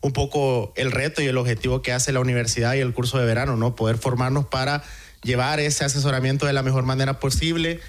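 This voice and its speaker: Salvadoran man, medium-pitched radio guest. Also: little pitch movement, fast talker. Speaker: course student and radio guest. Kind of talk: impromptu spoken reflection. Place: radio studio.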